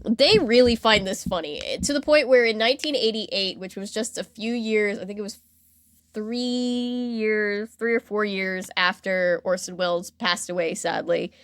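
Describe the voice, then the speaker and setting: A woman's voice: medium pitch, normal speed, no room sound. A woman hosting a podcast, telling a story in a casual, chatty, informal way and acting out imagined reactions.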